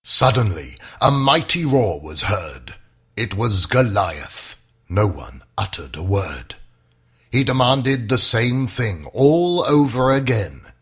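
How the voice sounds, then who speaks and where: Husky, unhurried male storyteller. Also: lowish voice, very animated, fairly bright tone. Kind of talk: rhyming scripted children's bedtime story narration.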